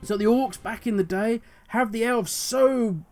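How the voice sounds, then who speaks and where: High-voiced man with a hoarse voice. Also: unhurried, darkish tone, soft background music. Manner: passionate, rambling, musing aloud. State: voice going hoarse. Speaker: video host. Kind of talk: solo commentary on game lore.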